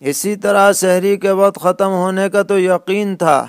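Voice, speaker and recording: A man who speaks as an Islamic scholar, answering religious questions in a measured, deliberate way with pauses mid-sentence. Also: sits high, little pitch movement, clear, resonant tone, dry recording, clean studio sound. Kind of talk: religious question-and-answer lecture in plain rulings.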